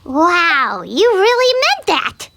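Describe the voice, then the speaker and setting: A woman voicing a tiny, childlike, excitable fairy companion in a cartoonish video game, squeaky and full of eager exclamations. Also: slow, slight background noise, exaggerated voice. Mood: excited.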